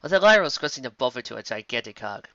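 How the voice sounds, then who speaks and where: Bright, animated audiobook narrator. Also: mid-range voice, rapid-fire, no room sound, highs cut off. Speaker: fiction narrator. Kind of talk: animated scripted story narration.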